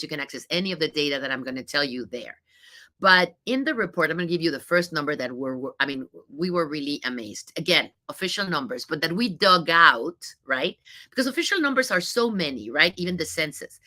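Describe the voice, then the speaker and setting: A woman's voice: fairly low pitch, bright, forceful tone. A middle-aged woman from Mexico with a Mexican Spanish accent, giving an informal talk full of statistics, stressing her points and often adding "you know" and "right?". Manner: emphatic, repeats key points, frequent "you know" and "right?". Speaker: think tank CEO speaker. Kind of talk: informal presentation with data.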